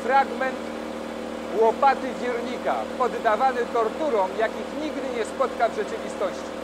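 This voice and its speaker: A man in his thirties presenting for TV in a test lab, raising his voice over loud vibrating machines. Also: extremely high pitch, moderate pace. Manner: raised voice over loud machinery, wearing ear defenders. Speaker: TV science presenter. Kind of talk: on-location TV presenting. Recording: noisy 1980s TV field recording.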